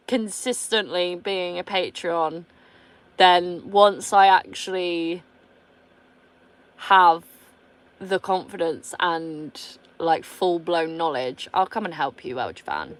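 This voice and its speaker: British woman, 30s, vlogger, lowish voice, blunt and sweary, quick laughs. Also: pushed and bright. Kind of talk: casual chat reading viewer comments.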